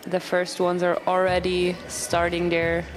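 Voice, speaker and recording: Woman commentator, excited, reacts to everything, faint music under it. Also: lowish voice. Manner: enthusiastic, quick to react. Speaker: event co-commentator. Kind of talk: live sports commentary.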